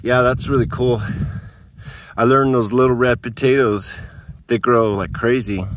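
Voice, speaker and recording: A man's voice: medium pitch, fairly even intonation, a little slow, dry recording. A man giving a casual tour of his dry desert garden, rambling and thinking aloud while filming on his phone outdoors. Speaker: home gardener.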